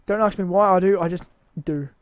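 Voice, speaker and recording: High-voiced tired man rambling. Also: a little fast, no room sound. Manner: rambling, hesitant, self-mocking. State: tired, voice wearing out. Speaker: builder showing his game build. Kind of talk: casual rambling walkthrough commentary.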